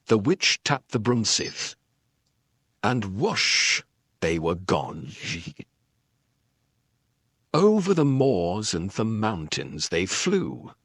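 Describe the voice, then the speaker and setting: A man reading a children's story aloud in an expressive, dramatic narrating style. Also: fairly low pitch, very slow, pauses often, dry recording, studio quality. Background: background music.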